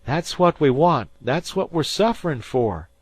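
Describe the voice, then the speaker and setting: A man's voice: a little high, expressive, measured pace, slightly rough, no echo, pitched above the performer's own voice. A man voicing a frightened teenage fisherman boy who talks in rustic old-time dialect. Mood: spooked.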